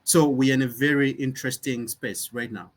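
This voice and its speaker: A man's voice: medium pitch, fairly even intonation, moderate pace. Earnest young man, founder. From Uganda. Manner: earnest, reflective, with frequent restarts. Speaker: nonprofit founder, guest. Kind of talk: conversational interview.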